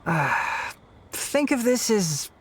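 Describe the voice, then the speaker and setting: A man's voice: high voice, big pitch swings, slow, a little hiss or hum, exaggerated voice. An actor playing a young male scholar in an anime game, explaining things with weary, dry patience. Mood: wry.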